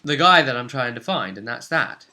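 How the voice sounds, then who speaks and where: A man's voice: medium pitch, animated, normal speed, sharp tone. A man voicing a stubborn young noblewoman training as a holy knight in a tabletop game, insistent and unyielding. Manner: insistent, digs heels in.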